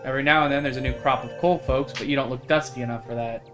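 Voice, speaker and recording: A man's voice: mid-range voice, fairly even intonation, quick pace, over music, close to an everyday voice. A man voicing a chatty, friendly small-town woman, reading a visual novel character in a folksy, rambling manner.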